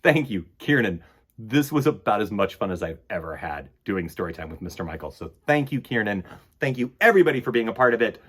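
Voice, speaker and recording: A man's voice: fairly low pitch, big pitch swings, brisk, breathy edge. A man hosting a children's storytime, reading aloud and chatting in a theatrical, emphatic way. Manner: theatrical, emphatic, swings between loud bursts and hushed asides. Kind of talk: animated read-aloud and chat to children.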